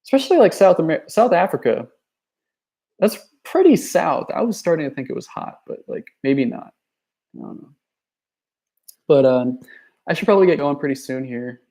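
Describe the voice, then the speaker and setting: A young man talks off the cuff, his voice gravelly with a rough edge. He wanders from thought to thought, stacking fillers and false starts like someone chatting on a livestream. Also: on the high side, sing-song, normal speed, long pauses, warm tone. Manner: rambling, many fillers and restarts; reads viewer chat messages aloud. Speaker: youtuber. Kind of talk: casual livestream chat.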